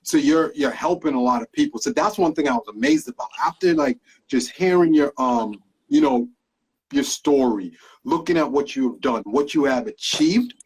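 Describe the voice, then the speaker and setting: Man, interview host, raspy, filler-heavy questioning. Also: mid-range voice, sing-song, moderate pace, rough recording. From Guyana. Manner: hesitant, many fillers and restarts. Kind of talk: casual interview.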